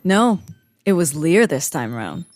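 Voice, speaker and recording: A woman's voice: low-pitched, very animated, a little slow, darkish tone, faint music behind, heavily acted. A woman playing an irreverent, sardonic, teasing spy who speaks in quips in an audio comedy drama. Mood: teasing, relieved. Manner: sardonic, quippy. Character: wisecracking female ex-convict spy, irreverent, teasing.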